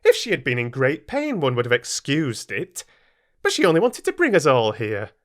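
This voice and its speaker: A man voicing a sharp-tongued maidservant who speaks with scorn and disgust in a storybook reading. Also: mid-range voice, wildly swooping pitch, normal speed, pitched above the performer's own voice.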